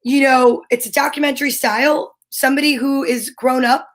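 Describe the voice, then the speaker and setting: A woman co-host with a notably high voice talks casually. She explains things in a conversational way, working out her thoughts aloud. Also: fairly even intonation, moderate pace. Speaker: co-host of a comedy talk show. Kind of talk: casual chat.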